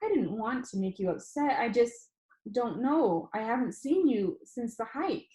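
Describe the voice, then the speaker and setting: A woman's voice: on the high side, expressive, measured pace, warm tone. A woman voicing an awkward, earnest and protective schoolboy in a storybook reading. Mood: apologetic. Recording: roomy, echoing room sound.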